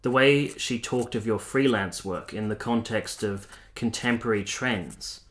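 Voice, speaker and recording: A man's voice: on the low side, unhurried, a bit bright. A man playing a courteous, smitten army captain from the 1870s in a radio drama, speaking politely and formally. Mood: smitten, reflective. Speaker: actor and narrator in a radio play. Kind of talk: radio drama acting, partly first-person narration.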